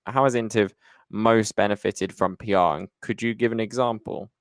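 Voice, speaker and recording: A man's voice: lowish voice, fairly even intonation, normal speed, no room sound, studio quality. A man hosting an interview podcast, affirming his guest in an upbeat way and asking questions. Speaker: podcast host.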